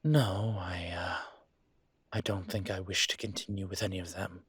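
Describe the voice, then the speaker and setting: Casting: male actor for ancient demon teacher, intimate roleplay audio. Deep, husky tone, courteous, measured and a little hesitant, with a teasing streak. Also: steady tone, fairly bright tone, dry recording, heavily acted. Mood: hesitant, candid. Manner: measured, hesitant, formal wording. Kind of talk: intimate one-sided audio roleplay acting.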